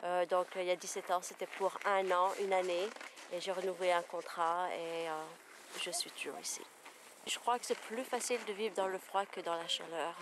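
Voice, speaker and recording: A woman's voice: on the low side, fairly even intonation, moderate pace. A middle-aged woman from France with a French accent, chatting easygoingly in a documentary interview with many hesitations. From France, Vosges. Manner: easygoing, hesitant with frequent fillers. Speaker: interviewee, sled dog musher. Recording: noticeable background noise.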